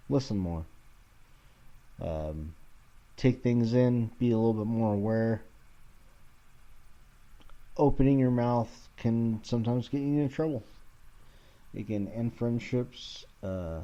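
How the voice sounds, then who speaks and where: A man's voice: on the low side, mellow, lo-fi sound. A man around forty rambling casually as a solo podcast host, irreverent, sweary and self-deprecating, recorded at home in his kitchen.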